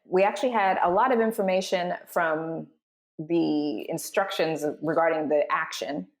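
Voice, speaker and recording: A woman's voice: deep voice, fairly even intonation, normal speed. A woman hosting as a voice director, explaining scenes and reading out stage directions. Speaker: host and voice director.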